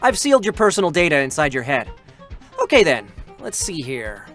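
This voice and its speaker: A young male android scanner, cocky and chatty, always needling his foe. His voice sits a little high for a man. Music plays softly behind. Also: big pitch swings. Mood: smug. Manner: playful, teasing, quick banter.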